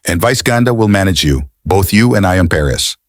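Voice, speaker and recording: A man's voice: deep voice, animated, moderate pace, no echo, clean studio sound. A man playing a serious, direct TV director in an audio drama, formal and mixing English into his speech. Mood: businesslike. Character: serious, businesslike male TV director, direct and commanding.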